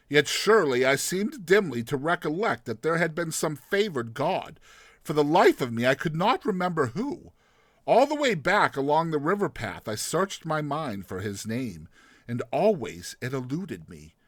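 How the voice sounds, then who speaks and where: A man narrating a literary short story in a theatrical, expressive storytelling manner. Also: medium pitch, no echo, studio quality.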